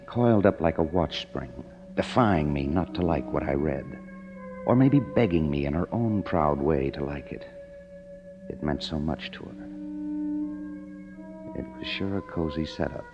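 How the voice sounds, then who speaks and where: A man's voice: very deep voice, creaky, heavily acted. An American man acting as a cynical, broke young screenwriter in a 1950s radio drama, wry and sardonic. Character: cynical, broke young screenwriter narrating his own story. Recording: muffled old radio broadcast, music behind.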